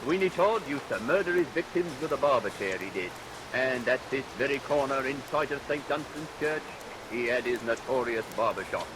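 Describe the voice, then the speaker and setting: A man's voice: mid-range voice, expressive, normal speed, close to an everyday voice. An actor playing a Cockney London tour guide who relishes grisly tales in theatrical showman's patter. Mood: ominous relish. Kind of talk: vintage radio drama acting. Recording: vintage radio recording under rain noise.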